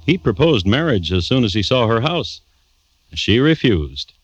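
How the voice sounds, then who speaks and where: An actor playing a wry, macabre crime-history host, narrating a 1950s radio drama deliberately and deadpan, with dramatic pauses. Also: male voice, mid-range voice, husky, dry recording. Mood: wry, somber. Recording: dull, dry vintage radio recording.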